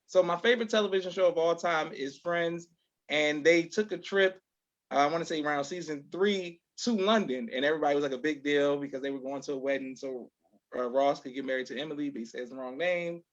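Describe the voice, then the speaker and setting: A man's voice: fairly high pitch, fairly quick, a bit bright, lo-fi sound. A man hosting a casual interview, speaking effusively and often repeating his affirmations. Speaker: podcast host.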